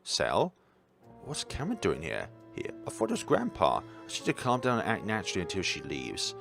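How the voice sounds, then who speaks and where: Man as low, muted, guarded teenage boy. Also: wildly swooping pitch, moderate pace, vocal fry, fairly bright tone, soft background music, full character voice. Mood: anxious.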